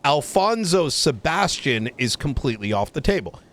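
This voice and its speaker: A man's voice: fairly high pitch, big pitch swings, moderate pace, a bit bright. Blunt, swearing police chief, played by a man. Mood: firm, insistent. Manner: argumentative, blunt, casual swearing. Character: blunt, foul-mouthed male police chief negotiating a deal. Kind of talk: improvised roleplay phone argument.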